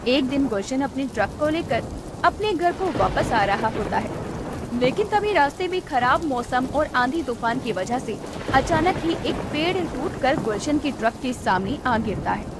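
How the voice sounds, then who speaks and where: A woman narrating a scripted cartoon story in an expressive storytelling style. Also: sits high, unhurried, noisy background.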